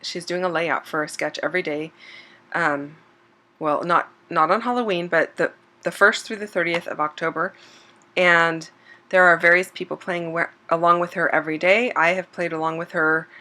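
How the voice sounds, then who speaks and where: A slightly hoarse woman hosting a scrapbooking channel, chatting casually over a craft process video with plenty of ums and self-corrections. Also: fairly low pitch, normal speed. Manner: relaxed and chatty, with frequent um fillers and self-corrections. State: slightly hoarse, losing her voice.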